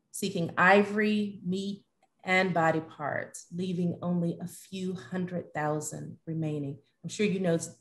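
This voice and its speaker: A middle-aged woman hosting an interview over a video call from a room at home. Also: fairly low pitch. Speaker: host. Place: living room at home, indoors.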